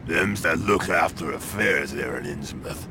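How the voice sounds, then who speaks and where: An actor playing a gruff, hostile small-town constable in a video game, curt and menacing, with rustic phrasing. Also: male voice, low-pitched, unhurried, noisy background. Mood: curt, evasive.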